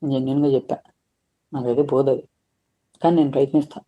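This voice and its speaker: A man's voice: mid-range voice, fairly even intonation, fast talker. A man in an informal private conversation on a recorded phone call, talking in a rambling, confessional and coarse way.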